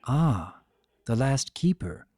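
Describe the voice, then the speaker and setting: A man voicing a regal female winged pony in a fantasy story, measured, polite, calm and cautious. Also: mid-range voice, dry recording, clean studio sound. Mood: careful. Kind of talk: dramatic fan fiction reading.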